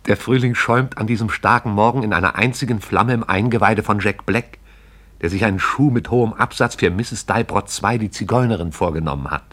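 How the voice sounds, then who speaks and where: A man's voice: lowish voice, fairly quick, a bit bright. A man narrating a radio play in a poetic, rhythmic recitation.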